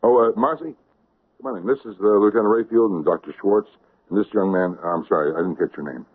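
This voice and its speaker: A pushy, low-voiced businessman. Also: brisk, husky. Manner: pushy, wheedling. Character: pushy, self-serving restaurant owner. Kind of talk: 1970s radio drama acting. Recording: tinny old radio broadcast.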